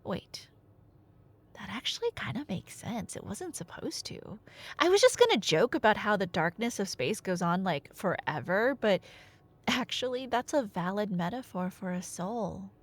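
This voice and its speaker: A woman's voice: mid-range voice, sing-song, normal speed. A woman performing an audio roleplay as a nerdy girlfriend, telling a story casually with playful asides to an unheard listener. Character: chatty nerdy girlfriend excitedly retelling Greek myths.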